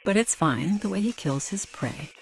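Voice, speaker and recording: A woman's voice: mid-range voice, lively intonation, normal speed, slight background noise, lightly acted, low-quality recording. An actress playing a cold, bitter mother who keeps birds of prey and is under suspicion in a crime audio drama. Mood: cool, fascinated.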